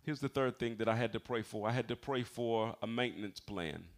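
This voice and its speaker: A man's voice: mid-range voice, a little fast. A man in his forties with an American accent preaching as a pastor, mixing personal testimony with emphatic, conversational appeals for amens. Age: 40s. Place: speaking to a congregation in a church building.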